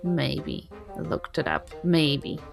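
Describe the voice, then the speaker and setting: A woman with a noticeably creaky, fry-laden voice chats casually as one of a podcast cast. Her delivery is deadpan and low-key. There is soft music in the background. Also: drawn-out words. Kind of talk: casual chat.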